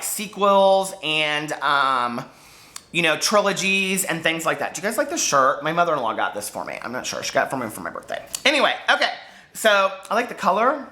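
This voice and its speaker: A man chatting casually to camera as a book vlogger, gushing and animated, wandering off on tangents with lots of filler words. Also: high-pitched. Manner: gushing, digressive, peppered with fillers.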